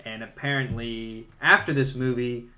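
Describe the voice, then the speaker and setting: Bright-voiced, opinionated male podcast co-host. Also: mid-range voice, fairly even intonation, normal speed. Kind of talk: casual film discussion.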